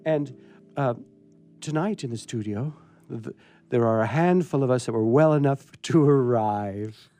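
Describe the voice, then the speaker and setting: A man hosting a radio show casually in a studio, playful and a bit halting, with theatrical emphasis. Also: mid-range voice, dark tone, soft background music. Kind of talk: casual, unscripted introduction.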